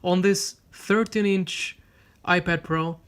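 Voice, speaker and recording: A man whose first language is Swedish, reviewing a tablet in a casual, unhurried way with frequent fillers and restarts while demonstrating it. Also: high-pitched, fairly even intonation, fairly bright tone, clear, resonant tone. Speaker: tech reviewer. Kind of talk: casual product review with live demo.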